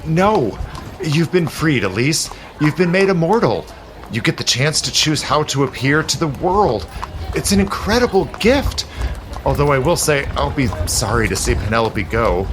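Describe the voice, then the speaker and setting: An actor playing a suave, zealous immortal gentleman in a period audio drama, with a smooth, persuasive, formal manner. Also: fairly high pitch, sing-song, moderate pace, background noise. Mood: impassioned. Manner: smooth, persuasive, theatrical, genteel formal phrasing.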